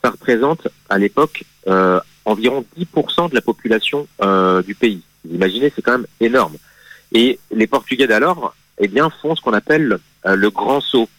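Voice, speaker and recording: Man on a radio show walking through history, lowish voice, lots of euhs and restarts, dry close sound. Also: expressive, fairly bright tone, no room sound, poor audio. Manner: hesitant, many fillers and restarts, measured explanation. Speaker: magazine guest speaking on history. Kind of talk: radio interview, explaining history.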